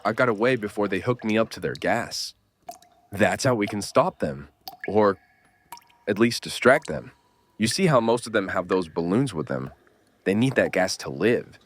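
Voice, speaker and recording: A man playing a gruff, world-weary survivor who takes charge in an audio horror drama. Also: lowish voice, animated, normal speed. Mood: determined.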